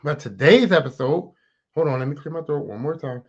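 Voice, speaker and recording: Raspy dude ranting on his podcast, cussing a lot, kinda muffled audio. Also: a little high, a little fast. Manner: profane, animated, talks straight to listeners. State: raspy, clearing throat. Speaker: podcast host. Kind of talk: casual solo podcast rant.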